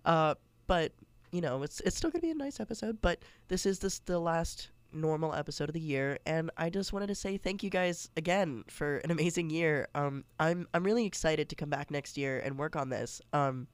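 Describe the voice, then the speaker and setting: A man's voice: a little high, expressive, moderate pace, clear, resonant tone, dry recording. A congested young man hosting a casual solo podcast, chatty and rambling with many fillers and restarts. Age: young adult. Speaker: podcast host.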